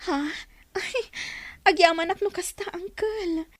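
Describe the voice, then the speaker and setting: High-pitched, plaintive young wife. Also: female voice, fairly even intonation, unhurried, no room sound. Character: long-suffering, distressed young wife and daughter. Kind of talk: Ilocano radio drama acting.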